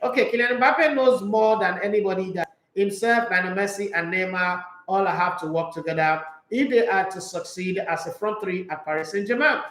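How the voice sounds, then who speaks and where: A high-pitched man talks football in a loose, opinionated way and makes jokey asides. The room around him is echoey. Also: moderate pace, few pauses, a bit bright. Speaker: sports talk show host. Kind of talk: casual sports talk, reading news and viewer comments.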